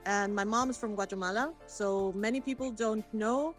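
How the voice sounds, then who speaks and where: A woman's voice: mid-range voice, normal speed. A woman working as a communications assistant, introducing herself and sharing a fun fact. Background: faint music.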